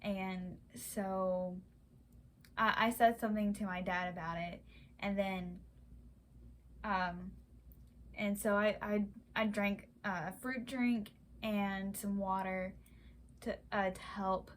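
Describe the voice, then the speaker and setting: A young woman vlogger telling a personal story in a halting way, with many fillers and restarts. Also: medium pitch, steady tone, a little hiss or hum. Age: young adult. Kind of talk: casual personal account.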